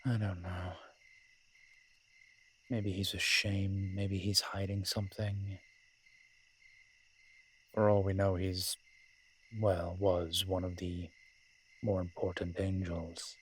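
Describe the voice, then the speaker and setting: A man playing a sly, worldly incubus demon in an audio roleplay, husky and sardonic, talking in an unhurried, conversational way. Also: low voice, flat delivery, slight background noise. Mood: musing, cautious. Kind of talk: dramatic character roleplay acting.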